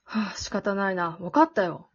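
A woman acting a blunt, exasperated but protective teenage girl in an amateur radio drama, dry and sharp-tongued. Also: medium pitch, expressive, normal speed, husky. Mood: exasperated, reluctant. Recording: dull, lo-fi audio.

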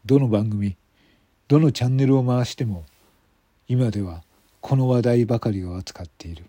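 Male mystery narrator: husky, mellow, dry sound, measured phrase-by-phrase scripted reading. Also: mid-range voice, no echo. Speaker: narrator and author of an amateur radio drama.

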